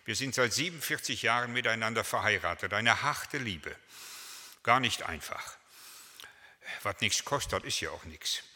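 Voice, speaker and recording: Older male pastor, 70s, very bright and forceful voice, chatty anecdotal preaching, long hall reverb. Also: lowish voice, animated, normal speed. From Germany. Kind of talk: sermon.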